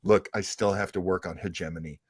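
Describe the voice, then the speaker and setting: A man's voice: deep voice, steady tone, fairly quick, husky, no room sound, studio quality. A man hosting a casual livestream chat, talking in a chatty, jokey way. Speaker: show host.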